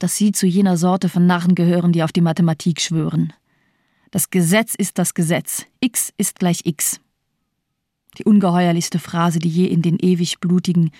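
A cold, cynical female doctor from a radio crime play, acted by a woman. Her voice is low and dark, recorded close and dry. She declaims her bleak, nihilistic view of mankind with disdain. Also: level tone, normal speed, no echo, close to an everyday voice. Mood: contemptuous, bitter. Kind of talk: German radio crime drama monologue.

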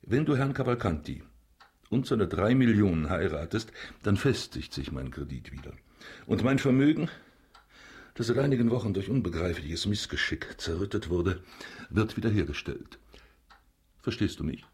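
A man's voice: lowish voice, lively intonation, normal speed, darkish tone, husky. An actor playing a pompous, calculating banker and father in a radio drama, with a businesslike manner. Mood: frank, scheming.

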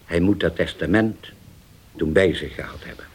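An actor playing a grave older uncle in a radio drama, deliberate and halting, once vengeful and now forgiving. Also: male voice, low voice, breathy edge. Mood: solemn, deliberate.

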